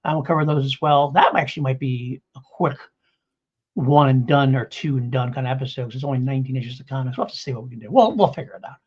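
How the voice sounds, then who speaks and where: Middle-aged male, podcast host, husky, casual, rambling delivery, slightly muffled lo-fi sound. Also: medium pitch, fast talker. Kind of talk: casual review podcast chat.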